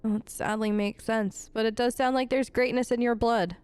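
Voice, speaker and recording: A woman hosting a role-play let's play, chatty and expressive in her gameplay commentary. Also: fairly high pitch, moderate pace, smooth, no echo.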